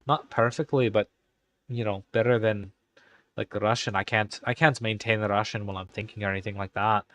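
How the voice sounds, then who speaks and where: A man's voice: fairly low pitch, steady tone, brisk, fairly bright tone. A young man live-streaming in a put-on Irish accent that slips now and then, chatting casually and muttering to himself while he types game commands.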